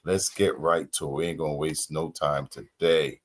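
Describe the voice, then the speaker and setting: A man's voice: a bass voice, level tone, moderate pace. A man hosting a reaction channel, talking casually and musing aloud with rhetorical questions.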